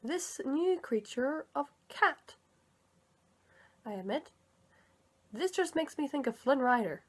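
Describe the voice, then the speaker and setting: Woman rambling to her viewers in a fairly high voice, a bit sick, keeps fixing her own words. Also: lively intonation, takes it slowly. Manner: chatty, rambling, self-correcting. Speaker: video creator and comic dub reader. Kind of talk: casual commentary vlog.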